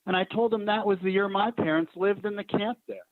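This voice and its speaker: A man's voice: sits high, fairly even intonation, a little fast, no echo. A man, a legislator, delivers a solemn prepared tribute. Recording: tinny, dry phone-like line.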